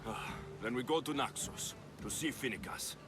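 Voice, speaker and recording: An actor playing a determined, grieving Greek mercenary in a video game, speaking earnestly and tersely. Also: male voice, mid-range voice, normal speed, music in the background, close to an everyday voice. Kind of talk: video game cutscene acting.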